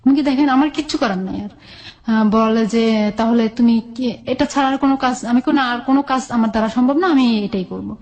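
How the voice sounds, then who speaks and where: High-voiced Bangladeshi woman telling her story. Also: a little fast, a little mellow. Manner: colloquial, recounts conversations as reported dialogue. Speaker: guest telling own life story. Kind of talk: candid personal storytelling in an interview.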